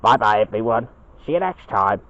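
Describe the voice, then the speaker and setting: A man voicing a patient, gently encouraging father bear in a homemade cartoon, murmuring with many hums and hesitant pauses. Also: lowish voice, animated, no echo, muffled recording, voice pushed down. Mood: gentle, forgiving.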